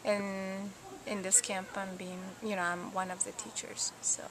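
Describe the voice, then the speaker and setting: A woman's voice: medium pitch, level tone, measured pace, a crowd in the background, poor audio. A woman from Ecuador who teaches Spanish, answering interview questions with enthusiasm and many fillers.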